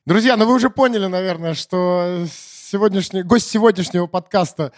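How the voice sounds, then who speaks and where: A man hosting a podcast in casual, chatty style, with somewhat halting phrasing. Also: high voice. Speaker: podcast host.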